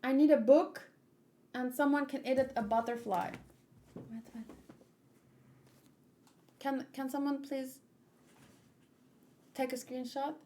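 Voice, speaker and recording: A woman streamer with a fairly high voice talks casually to her viewers. She is playful, excitable and expressive, though her overall pace is very slow and leisurely. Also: a little hiss or hum. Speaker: streamer hosting a manga read-along. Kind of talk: casual livestream chat mixed with animated read-aloud.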